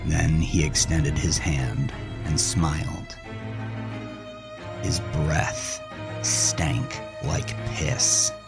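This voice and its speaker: An actor playing a proud, ruthless politician who grimly and deliberately narrates his own horror story. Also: a bass voice, steady tone, gravelly, exaggerated voice. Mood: grim, tense. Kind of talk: dramatic first-person horror story narration. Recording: loud music under the voice. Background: music.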